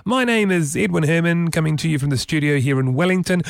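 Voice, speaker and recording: A man's voice: fairly high pitch, fast, creaky, dry recording. A man hosting a casual tech show from a studio, explaining features enthusiastically with many fillers. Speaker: podcast host. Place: radio studio.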